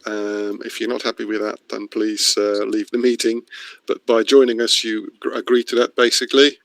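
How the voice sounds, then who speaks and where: A man's voice: fairly low pitch, level tone, moderate pace, dry recording. A middle-aged man from the Netherlands presenting a webinar, explaining informally and a little hesitantly as he goes. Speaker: course presenter. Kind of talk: webinar introduction, spoken off the cuff. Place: online video meeting.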